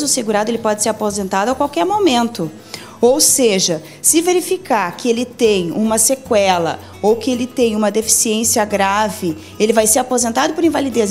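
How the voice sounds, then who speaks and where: A woman's voice: on the high side, expressive, fairly quick, a little mellow, faint music behind. A woman in her forties with a Brazilian accent, a lawyer methodically explaining legal rules in a TV interview in an office, into a handheld mic. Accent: Brazilian Portuguese. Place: office meeting room.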